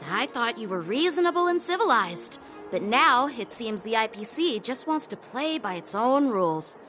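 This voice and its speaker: Earnest, high-voiced young girl knight. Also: sing-song, normal speed, fairly bright tone, soft background music. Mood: firm, polite. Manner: earnest, firm. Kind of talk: video game voice acting.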